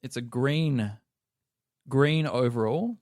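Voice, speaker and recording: Mid-pitched Australian man in dry casual chat. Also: takes it slowly, darkish tone, no echo. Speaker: actor and player on a tabletop role-playing podcast.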